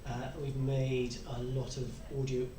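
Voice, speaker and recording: A man's voice: medium pitch, completely flat delivery, unhurried. A man in his sixties answering conversationally as a panellist at a convention. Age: 60s. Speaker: publisher and podcaster, panellist. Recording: echoey room, distant mic, faint noise.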